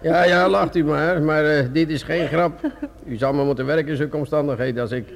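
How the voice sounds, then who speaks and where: An actor playing a weary, grumbling, sarcastic police inspector in a radio play, with a hesitant, muttering manner. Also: male voice, on the high side, fairly even intonation, fairly quick, vocal fry, a little hiss or hum. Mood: sarcastic, exasperated. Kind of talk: Dutch radio drama acting.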